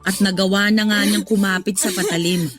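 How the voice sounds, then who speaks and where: An actress playing a loyal married confidante in a radio drama, consoling yet blunt and firm. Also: female voice, medium pitch, fairly even intonation, brisk, natural voice. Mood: firm, disapproving. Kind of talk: Tagalog radio drama acting. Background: background music.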